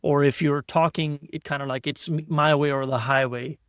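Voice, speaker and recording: A man's voice: a little high, fairly even intonation, normal speed, a bit bright, clean tone, no room sound, studio quality. A man hosting an interview podcast, talking in a loose, rambling way with repeated words and restarts. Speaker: podcast host. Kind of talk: casual interview chat.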